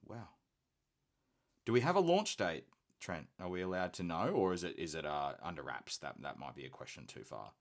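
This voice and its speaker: A very deep-voiced man from Australia with an unusually posh accent hosts a live hobby stream. He talks in a relaxed, chatty way as he paints. He is quick to poke fun at himself. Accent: posh-sounding Australian. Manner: relaxed, chatty, self-deprecating. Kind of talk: casual live-stream chat while painting.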